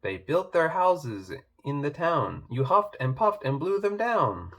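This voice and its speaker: A man's voice: a little high, big pitch swings, slow pace, fairly bright tone, exaggerated voice. A man voicing a small, brave little pig who scolds firmly and bossily in a children's storybook. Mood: scolding. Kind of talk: children's storybook read-aloud.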